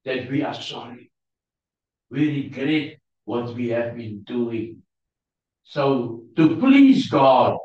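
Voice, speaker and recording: Afrikaans-accented male preacher, unhurried and hesitant, fishing for English words; distant mic, big echo. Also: mid-range voice, darkish tone. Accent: South African Afrikaans. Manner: halting, searching for English words with many fillers. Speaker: preacher teaching a Bible class. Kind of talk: Bible class teaching. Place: church hall. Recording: distant, roomy, dull lo-fi sound.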